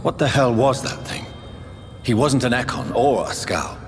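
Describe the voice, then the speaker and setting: An actor with a British accent playing an earnest gentleman doctor turned vampire, formal and probing, in a video game. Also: mid-range voice, moderate pace, close to an everyday voice. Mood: urgent, troubled. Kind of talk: dramatic video game acting. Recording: long hall reverb, loud music behind.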